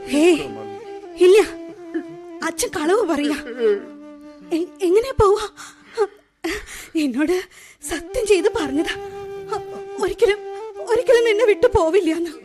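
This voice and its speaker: Brief: a sobbing young bride, shrill, disbelieving. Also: female voice, animated, slow, long pauses, darkish tone, soft background music, heavily acted. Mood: distraught. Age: young adult. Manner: wailing, disbelieving. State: crying. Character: young bride whose husband just died, distraught.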